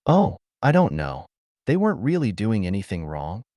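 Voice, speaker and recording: A composed and unabashed woman from pulp science fiction, played by a male audiobook narrator. She teases in a matter-of-fact way, with very lively pitch and a dry sound. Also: moderate pace, dry recording.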